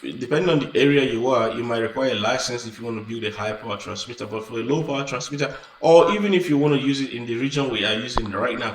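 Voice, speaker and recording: A man hosting a casual hands-on electronics tutorial, explaining step by step with frequent restarts and fillers. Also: medium pitch. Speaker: electronics channel host. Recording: echoey room, mic at a distance, noisy.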